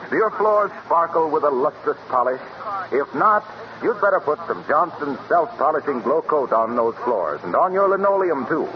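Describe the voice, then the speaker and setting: A man's voice: a little high, big pitch swings, moderate pace, crowd noise. A man announcing a 1930s radio show with a polished, emphatic salesman's delivery. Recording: noisy, muffled 1930s radio recording.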